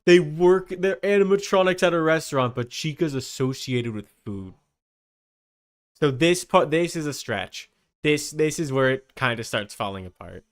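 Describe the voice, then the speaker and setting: A man's voice: on the high side, lively intonation, normal speed. A man giving casual, skeptical live commentary as a streamer, often repeating himself for emphasis. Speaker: streamer reacting to a video.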